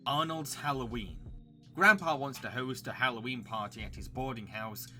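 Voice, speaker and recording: A man's voice: mid-range voice, normal speed, pushed and bright, faint music behind. A man narrating a scripted review as the presenter of a recommendation video, with enthusiasm.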